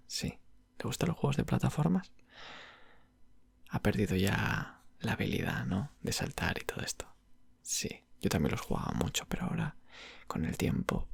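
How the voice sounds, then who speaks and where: Breathy, whispering Spanish shop clerk played by a man. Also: fairly low pitch, dry recording, heavily acted. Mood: soft, attentive, then calm, friendly. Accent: Spain. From Spain. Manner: unhurried, murmuring, hesitant phrasing. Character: patient, polite male video game shop clerk. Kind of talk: ASMR roleplay, whispered and soft-spoken. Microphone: close microphone.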